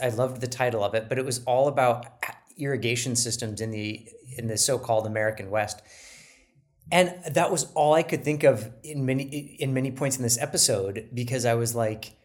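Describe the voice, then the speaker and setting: A man's voice: mid-range voice, normal speed. A middle-aged man co-hosting a podcast in casual chat, self-deprecating and hesitant, often restarting his sentences. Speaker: podcast co-host.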